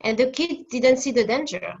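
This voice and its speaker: A woman's voice: high voice, expressive, normal speed, breathy edge, highs cut off. A woman in her forties from France with a French accent, a guest therapist answering interview questions with many fillers and restarts over a video call.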